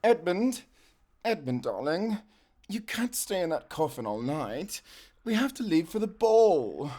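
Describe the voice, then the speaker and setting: A man's voice: a little high, very animated, a little slow, dark tone, a little hiss or hum. Flamboyant, drawling male vampire aristocrat. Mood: pleading. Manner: theatrical, drawling, dramatic sighs and exclamations. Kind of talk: dark comedy audio drama acting.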